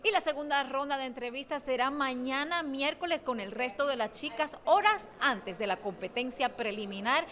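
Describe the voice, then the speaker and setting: A woman's voice: high-pitched, fairly bright tone, a little hiss or hum. A woman reporting for television news from the field, in a very reverberant sound. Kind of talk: on-location TV report. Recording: long reverberant echo.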